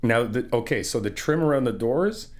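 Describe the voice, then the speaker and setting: A man's voice: medium pitch, expressive, moderate pace, close to an everyday voice. A man playing an easygoing, encouraging friend in a casual ASMR roleplay, chatting informally and explaining things patiently. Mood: patient, instructive. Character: easygoing, encouraging male friend helping paint an apartment.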